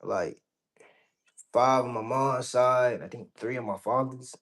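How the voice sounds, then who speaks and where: A man's voice: medium pitch, slow, a bit bright. A man from Georgia in the United States, chatting casually as an interview guest, blunt and slangy with plenty of swearing. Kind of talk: casual chat.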